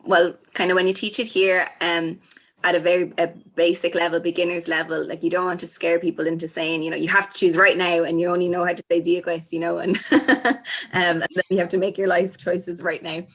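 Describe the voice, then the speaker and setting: A woman's voice: lowish voice, little pitch movement, a little fast, a bit bright. A woman, a language teacher, chatting on a panel with plenty of you-knows. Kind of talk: casual panel discussion.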